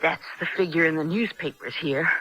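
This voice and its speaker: A woman's voice: low voice, brisk, natural voice. An actress playing a defiant young American woman, a distrustful prisoner in a radio drama, speaking in a skeptical, accusing way with an American accent. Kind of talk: 1970s radio drama acting. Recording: dull, band-limited 1970s radio recording.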